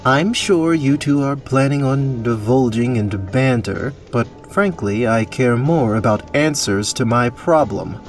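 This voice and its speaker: A man's voice: mid-range voice, measured pace, hardly any pauses, lightly acted. A man playing a proud but easily flustered young prince in an audio drama. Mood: indignant, commanding. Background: music.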